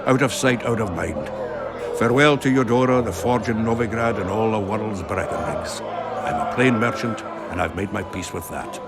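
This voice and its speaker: Man playing a low-voiced, grumbling dwarf merchant. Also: normal speed, lightly acted. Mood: grumbling, resigned. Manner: earthy, wry, grumbling. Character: plain-spoken, grumbling male dwarf merchant and craftsman. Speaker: voice actor. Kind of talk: video game cutscene acting. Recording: loud music behind the voice.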